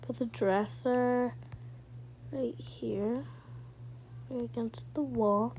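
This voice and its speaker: A woman's voice: a little high, fairly even intonation, extremely slow, darkish tone, a little hiss or hum. A woman doing casual role-play narration for a video, informally talking through each small step. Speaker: video creator.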